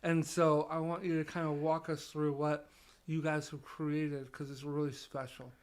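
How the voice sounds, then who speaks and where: A man's voice: a little high, flat delivery, normal speed, clear, resonant tone. A man hosting a podcast in a conversational interview style. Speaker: podcast host.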